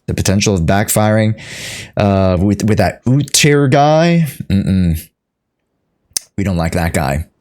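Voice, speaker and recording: Low, unhurried woman streamer, playful. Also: lively intonation, darkish tone. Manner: playful, offhand reactions, echoes game dialogue. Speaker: video game streamer. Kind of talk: casual live playthrough commentary.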